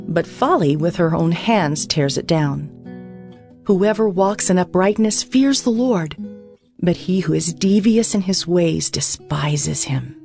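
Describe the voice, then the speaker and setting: Female audio Bible reader, lowish pitch, measured scripted delivery, soft music bed. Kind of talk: scripted dramatized scripture narration.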